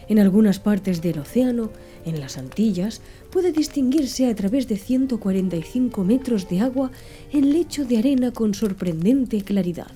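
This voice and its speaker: A woman narrating an audiobook in a soft, slow, hushed ASMR style. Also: mid-range voice. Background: faint background music.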